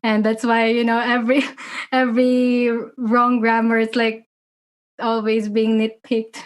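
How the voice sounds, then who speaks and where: A young woman from the Philippines speaks English with a Filipino accent as a podcast host. Her voice sits a little high and she talks slowly, laughing easily and leaving sentences hanging on fillers. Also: level tone. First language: Filipino language. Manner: laughs often, trails off with fillers. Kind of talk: casual interview chat.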